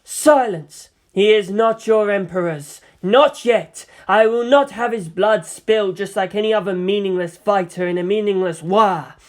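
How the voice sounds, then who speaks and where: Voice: medium pitch, a little slow, hardly any pauses, voice pushed up, close to an everyday voice. A narrator voicing a proud female alien seer, commanding, solemn and cryptic as a mentor. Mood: fierce.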